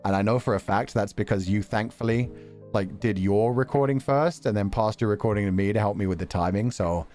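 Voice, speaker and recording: A man's voice: on the low side, brisk, soft background music. A man chatting casually on a stream, laughing often and brushing off praise. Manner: laughs often, self-deprecating, lively.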